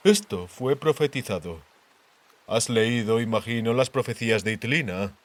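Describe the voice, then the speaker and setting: A man voicing a grave, worldly king in an audiobook, speaking in a measured, deliberate, cautionary way. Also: medium pitch, close to an everyday voice. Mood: worried, reflective. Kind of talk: audiobook character dialogue.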